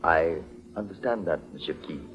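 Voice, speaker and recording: An actor playing a polite, anxious foreign exporter in a 1940s radio detective drama, speaking formally and hesitantly. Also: male voice, low voice, animated, moderate pace, soft background music. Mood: guarded, grateful. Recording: dull old 1940s radio broadcast.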